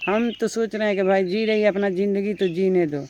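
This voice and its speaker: A man's voice: high voice, steady tone, brisk, a little mellow, a little hiss or hum. A man with a Bhojpuri accent talking casually in a rambling, repetitive way. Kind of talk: casual conversational commentary. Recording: dull lo-fi sound, birds chirping.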